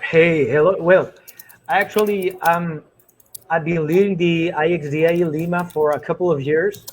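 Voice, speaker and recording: A man's voice: on the high side, a little slow, a little hiss or hum. A man from Chile, in his thirties, speaking as a guest on a video call through a headset from a small room at home, telling his story loosely and easily. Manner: relaxed, loosely phrased storytelling. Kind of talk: casual online talk.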